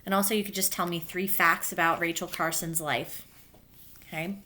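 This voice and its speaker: A woman teacher reading a children's book aloud and pausing to explain it in simple words for young pupils. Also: lowish voice, steady tone, sharp tone.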